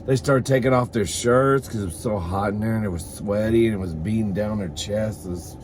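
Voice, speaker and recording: A man's voice: fairly low pitch, brisk, a little mellow, a little hiss or hum. A man hosting a comedy mukbang, chatting casually to the camera in a campy, sassy, bawdy manner as he eats. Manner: campy and sassy, calls listeners "girl" and "honey"; bawdy, swears freely. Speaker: host of a comedy mukbang vlog. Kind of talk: casual comic chat to camera while eating.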